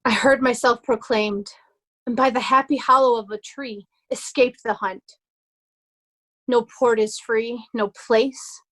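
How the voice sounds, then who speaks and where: A woman playing a hunted young nobleman in a Shakespeare soliloquy, in deliberate, measured verse. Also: on the high side, steady tone, fairly bright tone. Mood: desperate, resolute. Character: hunted young nobleman resolving to pose as mad beggar.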